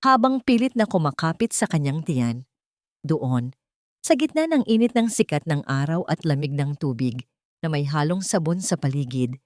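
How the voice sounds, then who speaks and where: A woman's voice: sits low, a little mellow, no echo, studio quality. A woman reading a scripted, dramatic story as an audio drama narrator.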